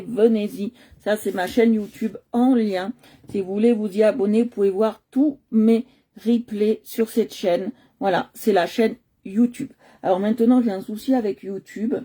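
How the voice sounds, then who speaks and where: A woman's voice: mid-range voice, steady tone, normal speed, warm tone. A woman from Nice hosting a live coloring stream, chatting casually with many hesitations and fillers, talking into a computer at home. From Nice, France.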